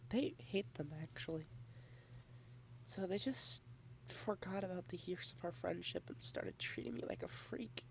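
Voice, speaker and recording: Slow, gravelly, shy soft-spoken woman. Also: lowish voice, a little hiss or hum, low-quality recording. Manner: shy, rambling, soft-spoken with whispered asides. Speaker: ASMR roleplay creator. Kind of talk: ASMR girlfriend roleplay.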